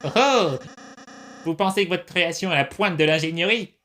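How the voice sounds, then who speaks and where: A woman's voice: fairly low pitch, a little fast, a bit bright, close to an everyday voice. A woman voicing a childish, cheerful little villain prince in an amateur comic dub, with mock-evil chuckles. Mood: boastful.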